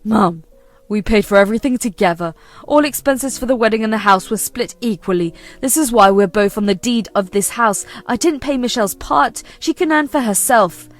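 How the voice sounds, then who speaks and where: A patient grown son who answers in a measured, firm voice, voiced by a woman reading a story. The voice stays high and light rather than deep. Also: lightly acted.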